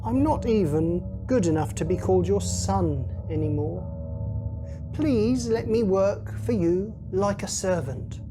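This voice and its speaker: A woman's voice: medium pitch, lively intonation, measured pace, music in the background. A woman voicing a remorseful young runaway son in a children's Bible storybook, earnest and pleading. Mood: apologetic pleading.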